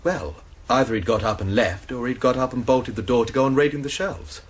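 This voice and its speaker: An actor playing a young college student in a radio drama, defensively recounting a fatal scuffle step by step. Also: male voice, mid-range voice, brisk, a little hiss or hum, lightly acted.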